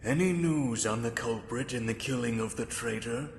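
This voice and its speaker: A man's voice: mid-range voice, normal speed, a little hiss or hum, natural voice. A man playing a businesslike council leader, formal and firm, in a sci-fi drama. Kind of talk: sci-fi audio drama acting. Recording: echoey hall, clear background ambience.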